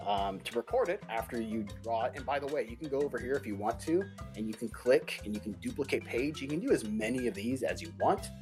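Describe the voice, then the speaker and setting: A man's voice: mid-range voice, lively intonation, fairly quick, hardly any pauses. A man teaching a remote music lesson to young children, upbeat and encouraging, singing short demo notes.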